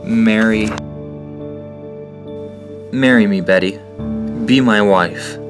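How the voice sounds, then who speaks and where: A man's voice: fairly low pitch, slow pace. A man playing an earnest, hopeful young man who carries a burden, in an audio drama. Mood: tender. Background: soft new-age music underneath.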